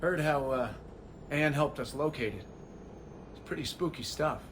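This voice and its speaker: Bright, forceful male shuttle pilot. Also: on the high side, unhurried, slight background noise. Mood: matter-of-fact. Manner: crisp, informal reporting. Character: male military shuttle pilot, practical and friendly. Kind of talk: video game voice acting.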